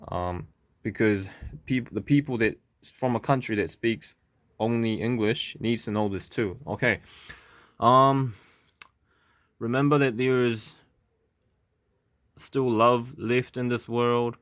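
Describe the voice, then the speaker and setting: A man from South Korea speaking English with a Korean accent, talking to camera in a halting, stuttering way with many fillers and "okay?" checks. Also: mid-range voice, a bit bright, dry recording, clean studio sound. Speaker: vlogger. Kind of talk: unscripted monologue to camera.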